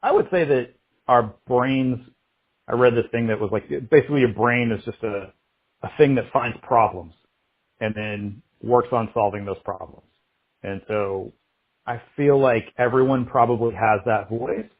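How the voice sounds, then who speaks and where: A man in his thirties speaking as an interview guest, halting and reflective, restarting his sentences often. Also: mid-range voice, husky, phone-quality sound. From United States. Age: 30s. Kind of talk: candid interview.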